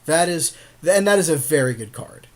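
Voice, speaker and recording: A man's voice: on the high side, expressive, a little fast. A man co-hosting casual card game commentary, agreeable and slangy with a jokey streak.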